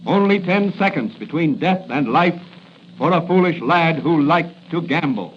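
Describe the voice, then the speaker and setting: A man's voice: a little high, expressive, measured pace. A man narrating a 1940s radio serial as its announcer, with dramatic scripted delivery. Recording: muffled, hissy 1940s radio recording.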